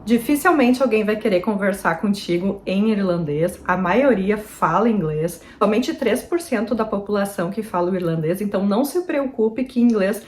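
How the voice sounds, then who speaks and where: A woman's voice: mid-range voice, animated, normal speed, hardly any pauses, a little mellow, a little hiss or hum. A young woman from Brazil chatting earnestly to her viewers in a casual advice vlog. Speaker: vlogger.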